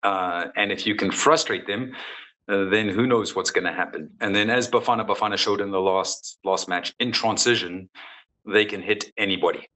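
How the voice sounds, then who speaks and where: A man speaks as a coach being interviewed. His voice sits a little low but has a bright, forceful edge. He talks casually and emphatically, often doubling back on his phrases. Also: normal speed, poor audio. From South Africa. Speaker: football coach, interview guest. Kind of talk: casual sports interview chat.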